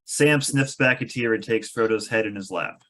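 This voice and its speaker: A man's voice: on the low side, moderate pace, a bit bright. A man reading stage directions as the narrator of a scripted table read.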